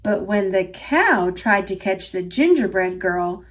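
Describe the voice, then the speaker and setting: An American woman reading a children's story aloud in an animated, scripted storytelling style. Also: medium pitch, a little slow.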